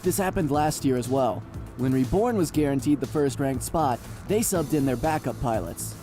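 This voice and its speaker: A man playing an analytical young mech pilot in a video game, measured and explanatory, with music behind. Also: medium pitch, natural voice. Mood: explaining. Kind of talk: anime-style game voice acting. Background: game background music.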